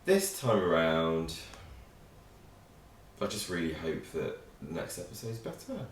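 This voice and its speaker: A man's voice: sits low, measured pace, a little hiss or hum. A man co-hosting a reaction show, talking casually and with frustration about an episode. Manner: animated, frustrated critic. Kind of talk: casual chat reviewing an episode. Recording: echoey room, distant mic.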